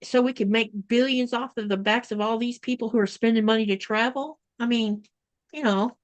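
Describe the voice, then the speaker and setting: Chatty higher-voiced woman co-host. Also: fairly even intonation, a little fast. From Florida, US. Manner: chatty, reads viewer comments aloud. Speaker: livestream co-host. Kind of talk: casual livestream chat. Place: home in Greenland.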